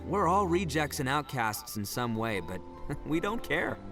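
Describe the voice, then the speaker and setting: A man's voice: on the high side, very animated, a little slow. An actor playing a brash, boastful young male oni gang leader who laughs often and talks in slang, in a video game. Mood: proud. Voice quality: loud, rowdy and cheerful. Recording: game audio with music behind.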